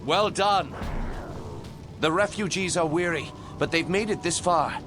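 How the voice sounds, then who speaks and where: An actor playing a noble, earnest warrior prince in a video game cutscene, speaking formally and with command. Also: male voice, sits high, unhurried, music in the background. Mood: earnest, resolute.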